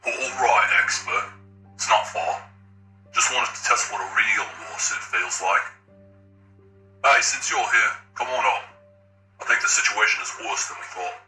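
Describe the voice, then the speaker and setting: A man's voice: on the low side, a little slow, pauses often, sharp tone, roomy sound, soft background music, low-quality recording. A man playing a casual, teasing vigilante sidekick in a sci-fi game cutscene.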